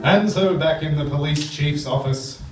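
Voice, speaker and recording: A man's voice: fairly high pitch, moderate pace, loud music behind the voice. A man announcing and narrating a live radio serial to a theatre audience. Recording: echoey theatre, distant mic, audience noise.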